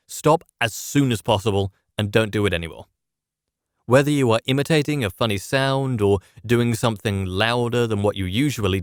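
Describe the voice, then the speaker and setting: A man's voice: mid-range voice, no echo. Man presenting a dry, close-sounding scripted lesson. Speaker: presenter of public speaking lessons. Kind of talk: scripted instructional narration.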